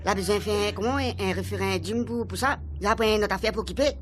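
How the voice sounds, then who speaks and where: A woman's voice: medium pitch, a little hiss or hum, close to an everyday voice. A woman playing a blunt, skeptical earthling. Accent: Réunionese Creole. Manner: quick, blunt questioning.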